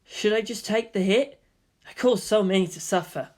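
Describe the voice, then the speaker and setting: A performer voicing an earnest, sorrowful teenage boy pilot in a calm, measured, pleading way. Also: medium pitch, close to an everyday voice. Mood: calm remorse.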